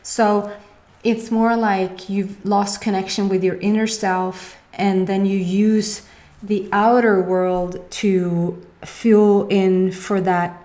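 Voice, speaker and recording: Swedish-accented woman, mellow rambling reader. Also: mid-range voice, flat delivery, slow. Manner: rambling, searching for words, many fillers. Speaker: tarot reader. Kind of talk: casual tarot reading.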